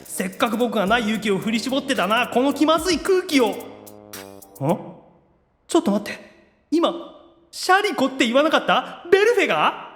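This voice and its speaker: A man playing an anxious, excitable young otaku demon brother in an anime-style audio drama, theatrical, moving between awkward muttering and gushing squeals. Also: extremely high pitch, moderate pace, pauses often, faint music behind, heavily acted. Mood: indignant, astonished.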